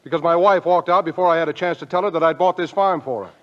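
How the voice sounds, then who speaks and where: An actor playing an earnest, easily exasperated young husband on a farm in old film comedy style, stammering when emotional. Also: male voice, on the high side, fast, fairly bright tone, close to an everyday voice. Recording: dull old film soundtrack.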